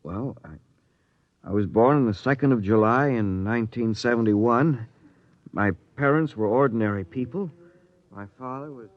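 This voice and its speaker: A man's voice: mid-range voice, normal speed, no echo, natural voice. An actor playing a plain-spoken, easily exasperated crewman who survived a plague, in a 1950s radio drama. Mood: subdued, puzzled. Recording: dull 1950s radio recording.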